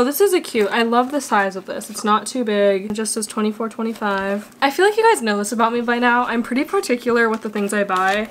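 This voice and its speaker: Young female vlogger, higher voice, chatty and rambling, noisy recording. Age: young adult. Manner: chatty, bubbly, rambling, with frequent "like". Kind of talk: casual vlog chat.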